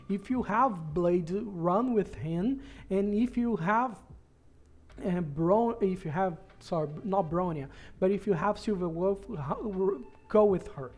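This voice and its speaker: A man's voice: sits high, warm tone. A man from Brazil with a Brazilian accent and Portuguese as his first language, earnestly explaining a game strategy in a casual tutorial and repeating words for emphasis. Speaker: gaming guide creator.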